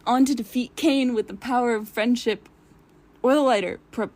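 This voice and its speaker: A woman giving earnest, expressive fan commentary in a prepared voice message. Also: fairly high pitch, moderate pace. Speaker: listener sending a voice message.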